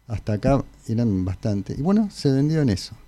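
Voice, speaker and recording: A middle-aged man with an Argentine Spanish accent, an interview guest telling anecdotes casually in a halting, informal way. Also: medium pitch, big pitch swings, a crowd in the background. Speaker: interview guest, I Ching consultant.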